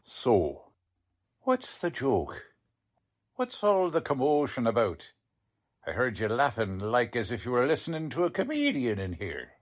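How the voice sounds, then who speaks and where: A man playing a gruff, bossy, exasperated police lieutenant with brusque, colloquial speech, in 1940s radio drama style. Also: medium pitch, huge pitch swings, unhurried.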